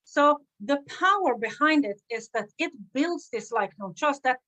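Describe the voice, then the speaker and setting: A middle-aged woman from Sweden with a Swedish accent, a coach giving an earnest, energetic live-streamed talk with many "right?" checks. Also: sits high, measured pace, rough recording. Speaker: online business coach.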